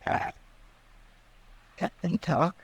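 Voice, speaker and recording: An actress playing a dying, resigned young frontier wife in an old-time radio western, weak and halting. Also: female voice, no room sound. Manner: halting, faint. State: weak, fading.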